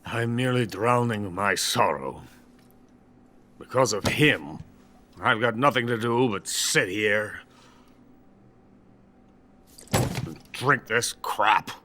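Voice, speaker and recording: An actor playing a bitter, boastful older Soviet weapons scientist who is drunk and rambles theatrically in a video game. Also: male voice, lowish voice, expressive, slow pace, long pauses, bright, forceful tone, exaggerated voice. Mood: bitter self-pity. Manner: grandiose, self-pitying, theatrical rambling. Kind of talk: dramatic video game voice acting.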